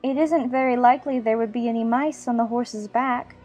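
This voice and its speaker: A woman's voice: fairly high pitch, steady tone, normal speed, mellow, faint music behind, natural voice. A woman playing a polite, curious young girl in a dramatized audiobook, speaking carefully and inquisitively. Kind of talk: dramatized audiobook character acting.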